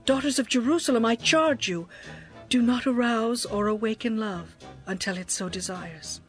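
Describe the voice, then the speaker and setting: A woman playing a passionate, devoted young bride in a dramatized scripture reading, with a tender, expressive, poetic delivery. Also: fairly high pitch, moderate pace, soft background music, natural voice. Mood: tender longing.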